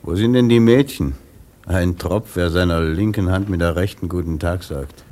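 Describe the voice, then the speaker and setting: Male actor, sensual mocking seaman, deep, languid, radio drama, faint ambience. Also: normal speed, mellow, slight background noise, natural voice. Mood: teasing. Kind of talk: German radio drama acting.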